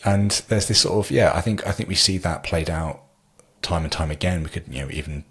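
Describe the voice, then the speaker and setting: A man's voice: low voice, brisk, a bit bright, husky. A British man hosting a podcast interview, talking in a rambling, hesitant way with many ums. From Britain. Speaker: podcast host. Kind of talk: interview chat.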